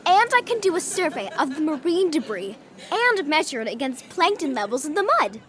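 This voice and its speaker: A woman's voice: high voice, very animated, brisk, a bit bright. A woman playing an eager schoolchild on a field trip, with a youthful, keen manner. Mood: eager, nerdy.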